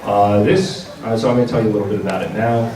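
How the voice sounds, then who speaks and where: A man giving a product presentation at a convention panel, speaking in a halting, hesitant way. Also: lowish voice, fairly even intonation. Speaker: presenter for a visual novel publisher. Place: large indoor convention panel room. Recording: roomy, distant convention hall with audience. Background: audience crowd sounds.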